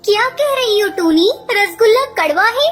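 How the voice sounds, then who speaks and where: A sweet little bird for a children's cartoon. The voice is extremely high and childlike, earnest and pleading, with faint music behind. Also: female voice, animated, moderate pace, fairly bright tone. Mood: anxious, pleading. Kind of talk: Hindi children's cartoon acting.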